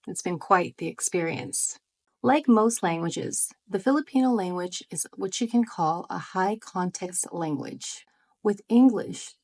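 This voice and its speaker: Woman, friendly explainer, average pitch, scripted vlog talk. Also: a little mellow. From United States. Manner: friendly, explanatory, rhetorical questions. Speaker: vlogger.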